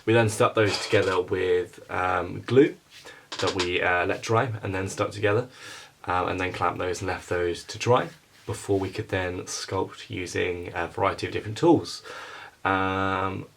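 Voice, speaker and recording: Very deep-voiced young man, creaky. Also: fairly even intonation, unhurried, fairly bright tone. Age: young adult. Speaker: student puppet-show maker narrating a making-of. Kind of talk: informal explanatory narration of a creative process.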